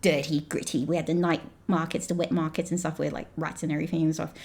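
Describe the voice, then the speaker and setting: A woman's voice: sits low, level tone, quick pace, a little mellow. A woman in her thirties from Malaysia, chatting as a podcast guest in an accent that mixes Australian, British and American sounds, with lots of fillers and restarts. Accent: mixed Australian, British and American. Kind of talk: casual chat.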